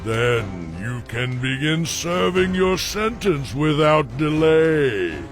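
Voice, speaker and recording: A slow, pompous villainous dragon king. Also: on the high side, sing-song, soft background music. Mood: smug, threatening. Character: mighty villainous dragon usurper king, haughty and menacing.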